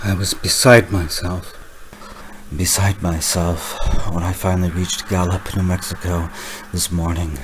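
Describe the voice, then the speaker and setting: A man's voice: low voice, flat delivery, measured pace, slight background noise, low-quality recording. A man acting a haunted, desperate driver in an old radio suspense style, melodramatic and prone to stammering. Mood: haunted, brooding. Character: frantic, haunted male driver on a lonely road.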